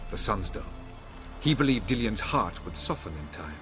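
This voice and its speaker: A condescending elven scholar with a low voice and lofty, measured speech. It is fantasy game acting, with music playing clearly underneath. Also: male voice, big pitch swings, a bit bright. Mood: solemn storytelling. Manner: formal, measured, lofty storytelling. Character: haughty, scholarly male elf sage, condescending and proud. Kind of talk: video game cutscene acting.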